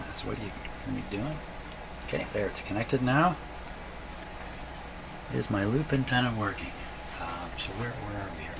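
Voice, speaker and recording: An older man giving a casual, halting demonstration, muttering to himself as he works with his hands. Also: lowish voice. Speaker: radio repair hobbyist presenting. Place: small cluttered indoor electronics workshop. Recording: loud mains hum and background noise.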